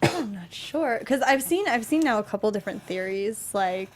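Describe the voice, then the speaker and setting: A woman co-hosting a talk show, chatting casually about a video game in a tentative, halting way. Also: medium pitch, animated.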